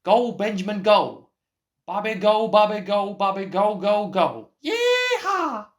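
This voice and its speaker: A man voicing a folksy, cocky young Texan with an excitable manner and a Texan accent, in a radio play. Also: sits high, takes it slowly, pitched above the performer's own voice, full character voice. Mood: excited cheering.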